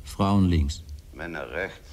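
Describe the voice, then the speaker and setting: Faint noise and rumble sit under a man playing a somber survivor in a radio drama. His voice is exceptionally deep. He gives his testimony at a very slow pace. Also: a little mellow. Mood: somber, halting. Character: somber male survivor recalling a deportation.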